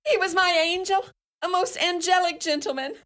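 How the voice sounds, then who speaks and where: An actress playing an overwrought, secretly grieving Irish sweetheart in a radio play, with a melodramatic manner. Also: female voice, very high voice, normal speed, fairly bright tone. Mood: grieving, rapturous.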